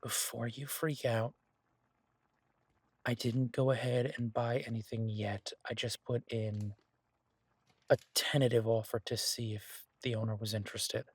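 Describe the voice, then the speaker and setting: A man playing an easygoing, earnest chef boyfriend in an intimate fantasy audio roleplay, talking in a halting, reassuring, conversational way. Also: medium pitch, level tone, slightly rough, no echo.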